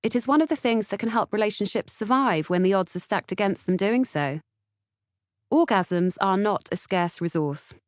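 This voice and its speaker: A woman's voice: mid-range voice, lively intonation, normal speed, dry recording. British woman narrating, dry close sound. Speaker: author-narrator reading audiobook, podcast co-host. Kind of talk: scripted audiobook narration.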